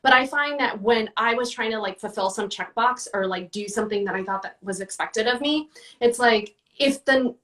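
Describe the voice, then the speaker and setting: A woman's voice: fairly high pitch, normal speed, fairly bright tone, distant mic. A woman answering interview questions in a casual, chatty way, with many fillers and restarts. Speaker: guest, book reviewer.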